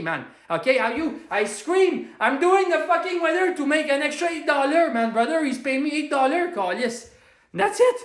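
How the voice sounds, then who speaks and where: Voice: sits high, roomy sound. A performer playing a hot-headed working-class man from Quebec who is angry all day, ranting in broken English mixed with French, with a strong Québécois French accent, on a comedy livestream. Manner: loud ranting, self-styled Quebec Hulk. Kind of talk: ranting comedy livestream, answering viewer comments.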